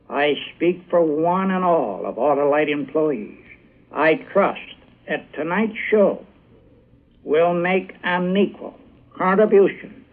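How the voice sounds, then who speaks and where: A male company executive reading a formal scripted speech of thanks on radio in a stiff, halting way. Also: on the high side. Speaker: company president accepting an award. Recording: thin phone-like vintage radio recording.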